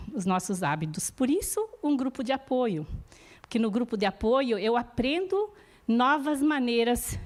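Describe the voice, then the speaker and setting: A woman whose first language is not Portuguese, giving an earnest, encouraging church teaching talk about support groups and often checking in with her listeners. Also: fairly high pitch.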